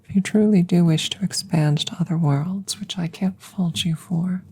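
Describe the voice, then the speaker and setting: A woman voicing a curious, calm, gentle female alien scientist in a measured, formal whisper for an ASMR roleplay. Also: fairly low pitch, slight background noise, lightly acted. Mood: earnest, measured. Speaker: audio roleplay voice performer. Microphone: close microphone.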